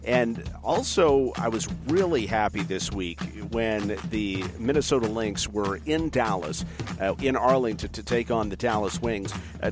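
A man hosting a sports interview in a conversational, enthusiastic and encouraging manner. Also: fairly low pitch. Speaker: sports show host.

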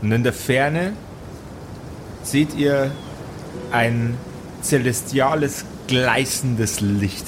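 A man narrating an improvised tabletop adventure as game master, with deliberate phrasing and dramatic pauses. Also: medium pitch, background noise.

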